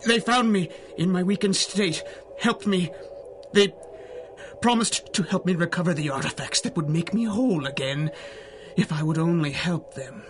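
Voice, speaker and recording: A man playing a weakened, menacing evil sorcerer in a radio drama, with theatrical, halting delivery. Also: high voice, background noise, natural voice. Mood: fearful, ominous.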